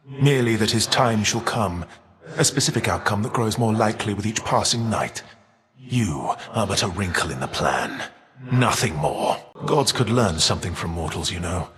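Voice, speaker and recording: Embittered titan rebel, lowish voice, formal measured diction, proud, video game character, long reverb, crowd noise behind. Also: male voice. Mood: grave, bitter.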